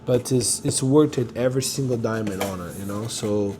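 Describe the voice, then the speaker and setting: A man's voice: fairly low pitch, moderate pace, warm tone, a little hiss or hum. A man, chatting casually to a phone camera as an entrepreneur vlogger, rambling and upbeat with lots of fillers and restarts. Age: 30s. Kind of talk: casual vlog talk to camera.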